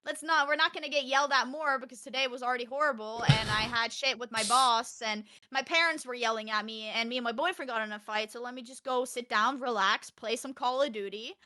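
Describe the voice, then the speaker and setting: A young woman with a high, forceful, loud voice. She talks casually as a podcast guest and content creator, in an outspoken, emphatic way with casual swearing thrown in. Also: brisk, few pauses. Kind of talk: casual chat, animated anecdotes.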